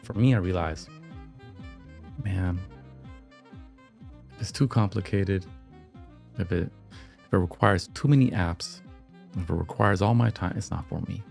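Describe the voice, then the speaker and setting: A slightly hoarse man hosting a livestream, chatting in a relaxed, reflective, slangy way over soft background music. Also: low voice, unhurried, long pauses. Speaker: podcast and livestream host. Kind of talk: casual livestream chat.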